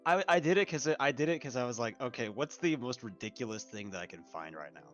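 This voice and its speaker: A man's voice: mid-range voice, animated, fast, a bit bright, muffled recording. A man guesting on a livestream, chatting casually in a giggly, hesitant way on a group call. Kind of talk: casual chat. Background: music.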